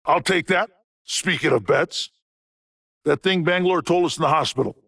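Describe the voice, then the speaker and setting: An actor playing a friendly, gossipy big soldier in a video game, talking in a playful, eager, chatty way. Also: male voice, a little high, moderate pace, gravelly, fairly bright tone, no room sound, clean studio sound. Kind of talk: video game character lines.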